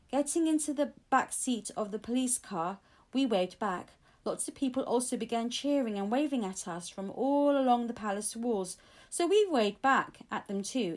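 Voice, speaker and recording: A woman narrates a children's audiobook. Her voice is a little higher than average, and she reads from a script in storytelling style. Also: animated, normal speed. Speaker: audiobook reader.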